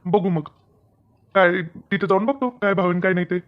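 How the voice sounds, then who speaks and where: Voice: mid-range voice, fairly even intonation, fairly quick, lo-fi sound, close to an everyday voice. A performer voicing a practical, good-natured male farm owner who nags his workers in a comedy cartoon, with a Maharashtrian accent and colloquial delivery. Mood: explaining. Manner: colloquial, nagging, instructing. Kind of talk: Marathi comedy cartoon dialogue.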